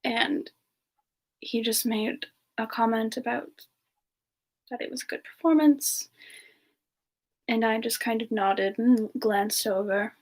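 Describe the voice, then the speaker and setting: Siren ice spirit played by a woman: bashful, hesitant, breathy, slightly high, intimate ASMR roleplay delivery. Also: fairly even intonation. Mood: fond, reminiscing. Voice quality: soft, breathy, slightly creaky. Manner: slow, hesitant, reminiscing with pauses. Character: bashful, soft-spoken female siren ice spirit, bar singer. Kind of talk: semi-ASMR in-character storytelling.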